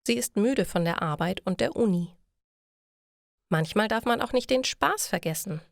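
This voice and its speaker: A woman reading a scripted short text for language learners in a clear, measured manner. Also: medium pitch, expressive, no echo, studio quality. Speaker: narrator of German learning stories.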